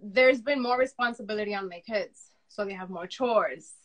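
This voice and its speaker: A woman, young to middle-aged, with a loud voice, chats casually and candidly as an interview guest, repeating phrases for emphasis. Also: medium pitch, moderate pace, poor audio. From Dominican upbringing. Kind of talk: casual personal conversation. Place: remote live video chat.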